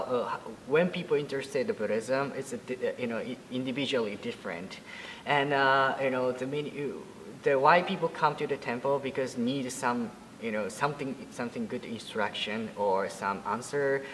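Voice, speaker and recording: A man in his twenties with a Japanese accent, answering interview questions in English with many fillers and restarts. His voice sits at an ordinary pitch for a man. The recording has a long reverb tail and a faint background hum. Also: moderate pace, a bit bright. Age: 20s. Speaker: Buddhist priest.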